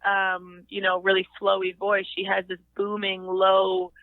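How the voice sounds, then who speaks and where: A young woman with a low voice, chatting in an interview as a singer-songwriter, thoughtful and halting with many restarts. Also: little pitch movement, gravelly, dry recording. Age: young adult. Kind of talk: casual interview chat. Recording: tinny phone line.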